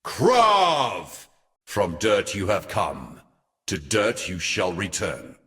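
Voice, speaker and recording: A wrathful god booms out his doom for a video game. The delivery is slow and declamatory, with dramatic swings in pitch. Long reverb trails every word. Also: big pitch swings, fairly bright tone, heavily acted. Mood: wrathful, commanding. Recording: long reverberant hall echo.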